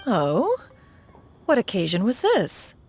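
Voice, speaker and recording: A woman's voice: medium pitch, wildly swooping pitch, slow, a little mellow, a little hiss or hum, exaggerated voice. An actress playing a proud, dignified ancient immortal woman in a video game, speaking in stately, archaic, formal phrases. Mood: composed, courteous. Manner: archaic, stately diction, calls herself "one". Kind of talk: scripted video game voice acting. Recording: music behind the voice.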